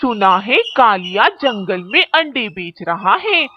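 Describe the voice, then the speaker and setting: A woman's voice: mid-range voice, lively intonation, a little slow, natural voice. A woman voicing a plain-spoken female kite bird seller in a children's cartoon, on a muffled, noisy recording. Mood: matter-of-fact. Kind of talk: children's cartoon voice acting in Hindi.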